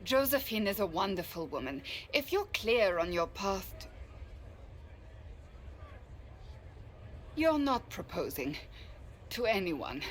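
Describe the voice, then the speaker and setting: A woman playing a loyal, awkward warrior in a fantasy video game, speaking formally and earnestly, sometimes haltingly. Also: lowish voice, a little hiss or hum. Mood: exasperated. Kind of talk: video game cutscene acting.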